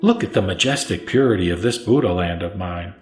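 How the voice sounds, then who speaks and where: A calm, authoritative male sage, voiced by a man narrator, teaches in a low voice. He is solemn and instructive, in a sutra audio drama over faint music. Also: fairly quick, roomy sound. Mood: calm authority.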